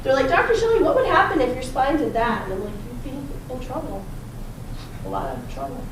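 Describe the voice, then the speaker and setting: Raspy-voiced chiropractor woman in an echoey gym. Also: sits high, wildly swooping pitch, a little fast. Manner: chatty and upbeat, asks the audience questions. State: slightly hoarse from talking a lot. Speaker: chiropractor giving a talk. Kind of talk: informal health talk to a small group. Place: personal training gym, indoors. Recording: echoey room, distant mic, background noise.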